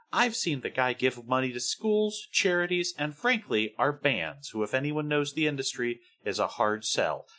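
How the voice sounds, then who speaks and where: Wry, lively man telling a podcast story. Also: mid-range voice. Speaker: podcast co-host. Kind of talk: casual podcast chat about music history.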